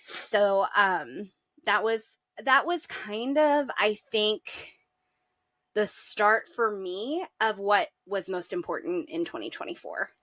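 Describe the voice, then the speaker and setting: A woman's voice: mid-range voice, takes it slowly, fairly bright tone, no echo. A woman co-hosting a podcast in casual chat, rambling a little with fillers as she talks. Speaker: podcast co-host.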